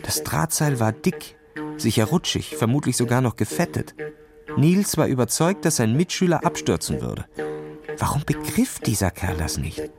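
A man's voice: mid-range voice, very animated, normal speed, creaky, music in the background. A man narrating a scripted children's story in a dramatic style.

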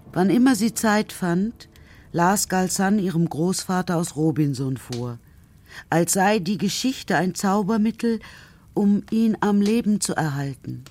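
A woman narrating a children's radio play in a measured, dramatic storytelling manner. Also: on the low side, darkish tone. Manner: measured, dramatic literary reading.

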